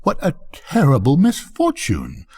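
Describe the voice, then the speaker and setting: A man's voice: on the high side, wildly swooping pitch, measured pace, a little mellow, slightly rough, voice pushed up, exaggerated voice. Older man as a pompous, swooping storybook rocket. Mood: mournful, scornful. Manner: pompous, indignant, declamatory. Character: vain, pompous, self-pitying male firework rocket.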